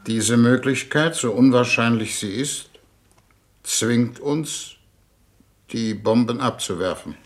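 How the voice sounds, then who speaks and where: An actor playing a pompous, world-weary older foreign minister in a 1950s German radio drama, with languid, deliberate delivery. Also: male voice, medium pitch, close to an everyday voice. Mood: grave, deliberate.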